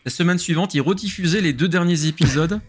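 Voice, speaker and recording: A man's voice: a little high, quick pace, breathy edge. A middle-aged man reminiscing casually, as a guest in a group chat. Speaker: podcast guest.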